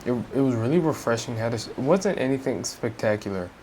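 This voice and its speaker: A woman's voice: extremely low pitch, lively intonation, fairly quick, darkish tone, a little hiss or hum. A woman giving casual, laid-back commentary as a gamer reacting to a story playthrough in short remarks.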